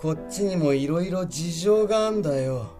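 A young man acting a rude, gruff delinquent type in an audio drama. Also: on the high side, expressive, normal speed, music in the background, lightly acted. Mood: gruff, scornful.

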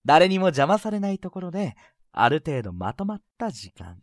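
Man acting a sly, animated teenage senior boy. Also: high voice, moderate pace, no room sound, studio quality. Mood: sly, persuasive. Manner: smooth-talking, playful, insinuating. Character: sly, teasing high school senior boy, confident schemer. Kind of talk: Japanese romantic-comedy voice drama acting.

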